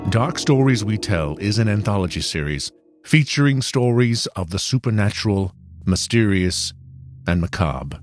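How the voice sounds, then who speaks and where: A man's voice: fairly low pitch, lively intonation, moderate pace. A man delivering scripted, dramatic narration as the announcer of a horror anthology show. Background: music.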